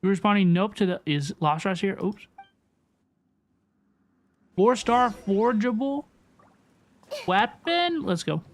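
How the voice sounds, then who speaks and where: A woman livestreaming a game, chatting casually with viewers in an animated, repetitive way as she reacts and reads chat. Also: medium pitch, slow pace, long pauses. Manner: animated, repeats questions, reads chat aloud. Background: game music.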